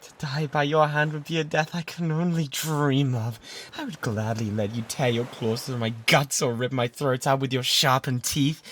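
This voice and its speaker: A man's voice: medium pitch, close to an everyday voice. Man acting a lovesick, pleading British pirate. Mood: fervent, pleading. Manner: fervent, breathy pleading that often drops toward whispers. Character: lovesick obsessive male pirate, pleading and self-abasing. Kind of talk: romantic ASMR roleplay acting. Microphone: close binaural microphone. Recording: noticeable background noise and ambience.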